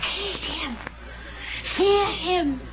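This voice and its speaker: A woman's voice: very high-pitched, very slow, heavily acted. An actress playing an obedient, entranced alien woman in a 1970s radio drama, answering her master as if in a trance. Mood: entranced, submissive. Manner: trance-like, echoing commands. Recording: dull 1970s radio recording with music.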